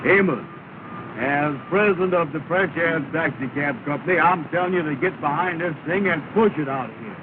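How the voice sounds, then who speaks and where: A man's voice: a little high, moderate pace, lightly acted. A man plays a self-important cab boss from an early talkie comedy, giving orders in a raised, blustering voice. The thin, phone-like sound is thick with hiss. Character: pompous, bossy male cab company boss in a comedy duo. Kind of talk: 1930s film comedy acting. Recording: tinny, hissy 1930 film soundtrack.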